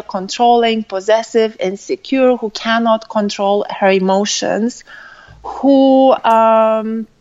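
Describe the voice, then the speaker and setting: A woman with a Lithuanian accent touched by Irish and British speech gives conversational answers as a coach. She takes her time, at a slow pace. The sound is dull and lo-fi, like a remote call. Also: on the high side, darkish tone. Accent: mixed Lithuanian, with Irish and British influence. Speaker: guest, relationship coach.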